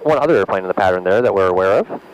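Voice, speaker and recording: A man in his thirties teaching in a small airplane cockpit, calmly talking through each step over a headset mic. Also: sits low, racing, noisy background. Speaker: flight instructor. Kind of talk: in-flight instruction. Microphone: aviation headset boom mic.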